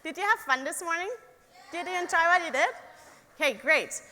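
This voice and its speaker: A young woman with a German accent gives a friendly talk to a live audience, and she often stops to ask them questions. Her voice is high and bright, with frequent ums. A long hall reverb trails behind her words. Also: brisk. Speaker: engineer giving a talk. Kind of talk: presentation to an audience.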